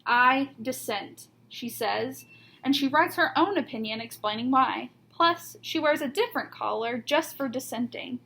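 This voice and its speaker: A woman reading a children's picture book aloud like a teacher, with deliberate emphasis on key words. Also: high voice, animated, creaky, sharp tone. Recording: noticeable background noise.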